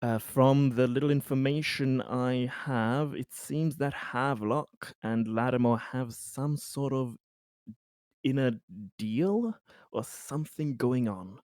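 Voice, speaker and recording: A man playing a tabletop roleplaying game, improvising an advisory report in a hesitant, halting manner. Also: mid-range voice, darkish tone, dry recording, studio quality.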